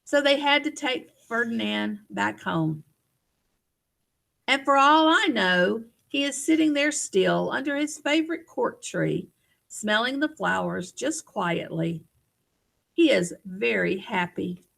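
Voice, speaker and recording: Lady reading a kids book out loud, highish voice with some gravelly fry, expressive storyteller. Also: slow. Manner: expressive, addresses young listeners with questions. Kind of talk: read-aloud children's storytelling.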